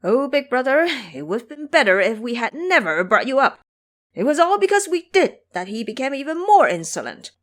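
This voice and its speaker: A woman's voice: high-pitched, sing-song, a little fast, a bit bright, voice pushed up. A woman voicing a cowardly, wheedling pig-monster disciple in an audio drama, whining and grovelling as he pleads. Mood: goading.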